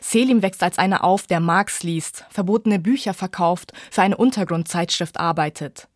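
A woman's voice: lowish voice, normal speed, no room sound, studio quality. A woman reporter reading a scripted radio book review feature.

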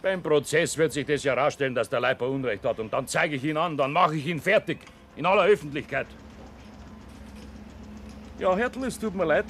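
A man's voice: on the high side, fairly quick, a bit bright, a little hiss or hum. A man with a Bavarian accent playing a sly, self-assured building contractor who talks down to his men in a naturalistic drama. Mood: condescending. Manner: patronising, commanding. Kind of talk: naturalistic film drama dialogue. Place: outdoor building site.

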